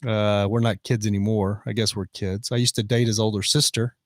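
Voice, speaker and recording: A man's voice: lowish voice, flat delivery, brisk, dry recording, clean studio sound. A man in his fifties hosting a casual live stream, chatting and reading out viewer comments. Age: 50s. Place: van in the rain.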